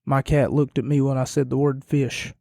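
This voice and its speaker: A man hosting a solo podcast, rambling and self-deprecating, his voice going hoarse and quiet. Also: mid-range voice, flat delivery, normal speed, no echo, studio quality. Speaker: podcast host. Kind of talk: casual solo podcast recap.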